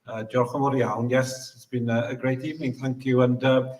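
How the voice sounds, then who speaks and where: A man giving an informal, halting closing speech as a political candidate at a hustings. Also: medium pitch, little pitch movement, a little mellow. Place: hustings venue, indoors. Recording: echoey live hall.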